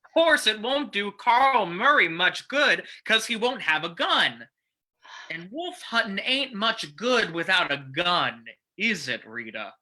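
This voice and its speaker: An actor playing a menacing, smug saloon keeper in an old-time radio drama, with a folksy, mock-friendly taunting manner. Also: male voice, high voice, big pitch swings, slow, sharp tone, full character voice.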